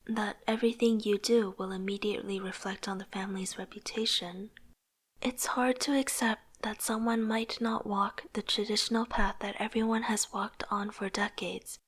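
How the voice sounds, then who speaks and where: A young woman playing an earnest, struggling artist in a motion comic, narrating introspectively in a soft, breathy voice. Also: medium pitch, close to an everyday voice. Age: young adult. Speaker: narrating lead character. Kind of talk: scripted first-person narration.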